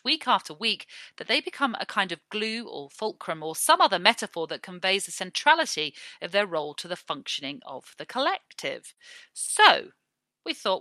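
A woman's voice: mid-range voice, sharp tone, dry recording. A woman hosting a podcast, presenting a football discussion and putting questions to a guest. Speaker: podcast host.

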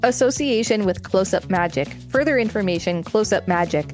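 A woman's voice: mid-range voice, lively intonation, fairly quick, a little mellow. Woman podcast host reading over music. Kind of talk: read-aloud encyclopedia text with casual commentary.

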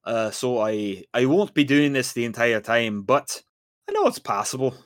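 A man's voice: medium pitch, sing-song, moderate pace, no room sound, studio quality. A man hosting a podcast, chatty and upbeat as he leads the casual talk. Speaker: podcast host. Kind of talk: casual chat.

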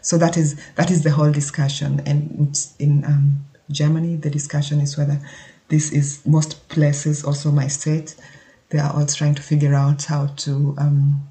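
Hesitant Kenyan man, flat and gravelly. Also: a little high. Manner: hesitant, halting, thinking aloud. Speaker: podcast host. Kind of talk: informal solo podcast explainer.

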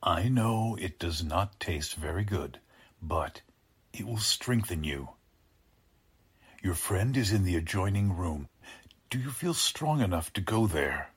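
An actor playing a courtly but menacing mad scientist in a 1940s-style radio drama, with formal, pompous, halting delivery. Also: male voice, deep voice, expressive, slightly rough, heavily acted. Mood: soothing, controlling.

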